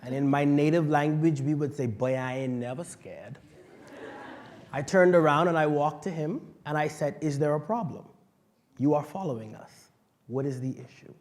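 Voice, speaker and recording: A man's voice: fairly high pitch, moderate pace. Passionate young man telling a story. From Bahamas. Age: young adult. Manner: passionate and vocal, swinging from quiet to loud. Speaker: panel speaker. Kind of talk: personal storytelling at a panel talk.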